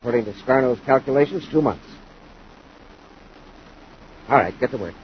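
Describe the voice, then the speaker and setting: An actor playing a gruff, grudging henchman pilot, curt and impatient, in a 1950s radio serial. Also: mid-range voice, animated, moderate pace, close to an everyday voice. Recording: noisy, dull 1950s radio recording.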